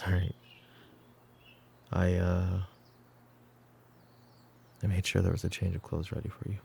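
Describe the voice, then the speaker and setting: A man playing a weary middle-aged mafia boss in a roleplay audio drama, stern but protective, speaking in a measured, commanding way. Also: completely flat delivery, darkish tone, a little hiss or hum, heavily acted. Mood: awkward, softer. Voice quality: low, gravelly.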